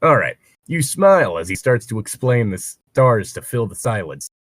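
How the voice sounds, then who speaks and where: A man's voice: medium pitch, sing-song, moderate pace, no room sound, clean studio sound. A man reading fan fiction aloud with casual, joking banter and digressive commentary. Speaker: co-reader and commentator.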